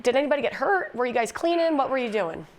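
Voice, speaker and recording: A woman's voice: high-pitched, expressive, fast, slight background noise. A woman presenting and interviewing on a live news broadcast in a conversational manner.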